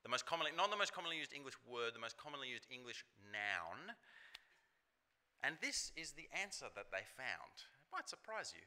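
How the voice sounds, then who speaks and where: A man gives a sermon with a very bright, ringing voice. He talks to the congregation in a chatty, relaxed way, with casual fillers, at a middle pitch. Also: a little fast. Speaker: preacher.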